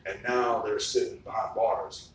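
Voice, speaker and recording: A man's voice: on the low side, little pitch movement, a little slow. A man in his thirties hosting a casual chat, rambling and swearing freely with lots of "like". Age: 30s. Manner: loose, profane storytelling with frequent "like". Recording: echoey room, far from the mic, faint noise.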